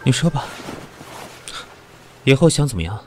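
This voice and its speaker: An actor playing a proud, sarcastic senior executive who is weak from fever and answers in cold, cutting remarks. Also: male voice, mid-range voice, big pitch swings, normal speed, darkish tone, faint music behind, natural voice. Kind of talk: radio drama acting.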